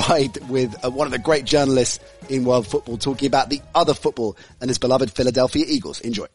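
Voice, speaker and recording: A man hosting a sports podcast, telling a story in a lively, joking way. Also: medium pitch. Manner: storytelling, animated and jokey. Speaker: podcast host. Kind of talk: casual sports chat.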